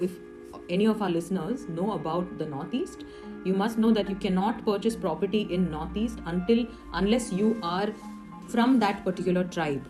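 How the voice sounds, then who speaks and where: A woman telling eerie stories in a semi-scripted, explanatory way, with rhetorical questions and dramatic emphasis. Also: medium pitch. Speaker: storyteller. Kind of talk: semi-scripted horror storytelling. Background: music under the voice.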